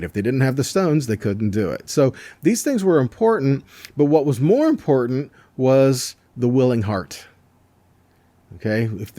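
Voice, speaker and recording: Male religious teacher: relaxed, dark timbre, very dry recording, explains scripture point by point with repetition and rhetorical questions. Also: mid-range voice, no echo.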